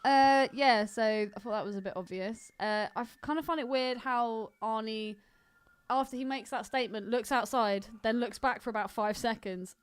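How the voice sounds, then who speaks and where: High-voiced woman chatting about films. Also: fairly even intonation, normal speed, clean tone. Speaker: podcast co-host. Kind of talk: casual film chat.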